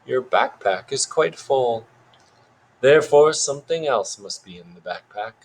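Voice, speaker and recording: A man voicing an eccentric schoolboy who plays a pompous detective in a theatrical, drawn-out mock British accent. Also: mid-range voice, lively intonation, husky, low-quality recording. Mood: smug, deducing.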